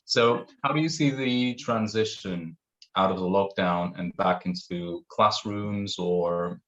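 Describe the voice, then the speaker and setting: A man's voice: low-pitched, slow, fairly bright tone. A laid-back man from Greece hosting a casual interview, asking questions and laughing easily. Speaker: interview host. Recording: dull lo-fi sound.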